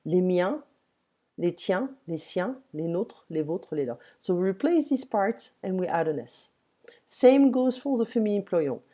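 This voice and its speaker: A woman teaching grammar in English, patiently modelling each word slowly. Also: mid-range voice, lively intonation, warm tone. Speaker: French tutor. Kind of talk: one-on-one language lesson, explaining grammar.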